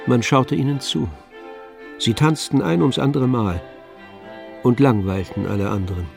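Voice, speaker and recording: A man narrating a literary radio drama from a script in a measured storytelling manner. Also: medium pitch, creaky, a little mellow. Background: music with violin.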